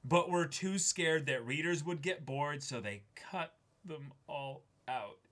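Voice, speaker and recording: A man's voice: on the high side, slow, fairly bright tone. A young man casually discussing and reading aloud as a co-host, speaking emphatically and swearing freely. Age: young adult. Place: small indoor studio with backdrop.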